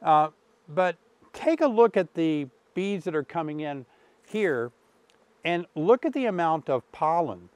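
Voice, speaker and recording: A man's voice: fairly high pitch, lively intonation, a little slow, darkish tone, no room sound. A man in his sixties hosting a beekeeping vlog outdoors by his hives, explaining in a friendly, easygoing way with fillers, on a lapel mic. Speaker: beekeeping channel host. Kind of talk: casual instructional vlog. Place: outdoors beside beehives.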